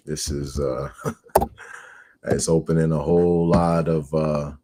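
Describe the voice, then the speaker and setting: A man in his forties from the United States, hosting a show where he reads a book aloud and comments on it with measured, earnest delivery. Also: very deep voice, little pitch movement, dark tone, a little hiss or hum. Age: 40s. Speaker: host.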